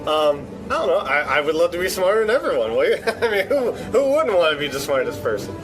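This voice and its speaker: A man giving casual, halting interview answers with a self-deprecating manner. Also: on the high side, big pitch swings, over music.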